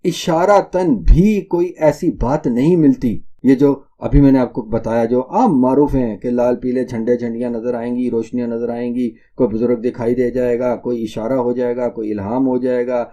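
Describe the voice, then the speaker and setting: A man's voice: mid-range voice, steady tone, hardly any pauses, mellow. A man delivering an Islamic religious lecture in a didactic, emphatic way, repeating points for stress. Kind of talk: Islamic sermon lecture addressed to listeners.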